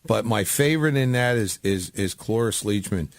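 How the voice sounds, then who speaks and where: A man's voice: on the low side, animated, moderate pace, vocal fry, no echo. A man hosting a casual radio interview, chatting in an informal, rambling way. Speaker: radio show host.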